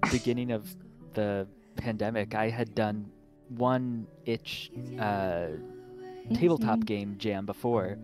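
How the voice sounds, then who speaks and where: A man's voice: on the low side, fairly even intonation, slow pace, music in the background. A man chatting casually as a game developer being interviewed. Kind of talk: casual chat.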